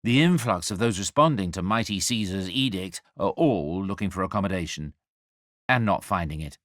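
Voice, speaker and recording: An actor playing an angel who narrates a radio drama in a measured, wry and confiding way, speaking directly to the listener as a compassionate storyteller. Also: male voice, low voice, lively intonation, a bit bright, no echo, studio quality. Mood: wry, grave.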